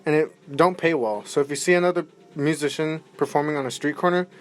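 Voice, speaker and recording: A man's voice: on the high side, a little fast, close to an everyday voice. A man playing a polite, enthusiastic aspiring musician in a 1920s radio interview. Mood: earnest. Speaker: musician guest. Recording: noisy amateur recording.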